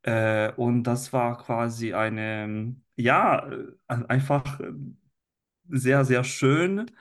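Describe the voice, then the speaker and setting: A man's voice: mid-range voice, drawn-out words. A man from Italy, a native Italian speaker with an Italian accent, chatting as a podcast guest and often searching for his words. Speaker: language teacher, interview guest. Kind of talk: casual podcast conversation.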